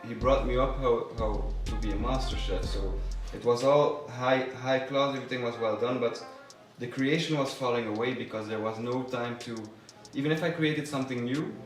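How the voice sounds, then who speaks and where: A man's voice: medium pitch, steady tone, normal speed, few pauses. A man answering interview questions in a rambling way, with restarts, about his past as a chef. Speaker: chef turned label member. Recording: echoey room, distant mic, music behind.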